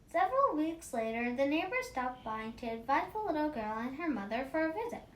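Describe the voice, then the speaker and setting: A woman reading a children's story aloud in an expressive, animated manner. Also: fairly quick. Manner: animated, acts out dialogue. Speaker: storybook reader for a children's book club. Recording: roomy echo, faint rumbling noise.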